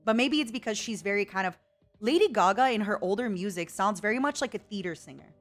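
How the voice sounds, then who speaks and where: A woman's voice: medium pitch, animated, quick pace, faint music behind. A young woman streaming a casual chat with viewers, rambling and reading out chat messages. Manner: chatty, rambling, reads chat aloud.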